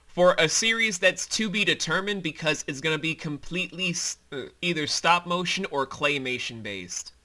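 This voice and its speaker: A man with a very bright, forceful, fairly high voice talks through his own fantasy audio series. He is animated and colloquial, explaining things as a director in a casual commentary. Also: moderate pace. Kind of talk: casual behind-the-scenes commentary.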